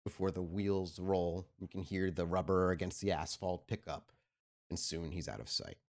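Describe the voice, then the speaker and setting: A man working as game master, improvising descriptive, expressive narration for a tabletop game. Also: sits low, moderate pace.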